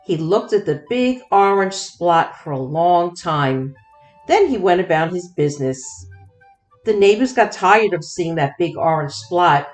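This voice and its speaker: A woman narrating a children's storybook expressively, with deliberate, dramatic phrasing. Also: on the low side, animated, soft background music. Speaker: children's book read-aloud host.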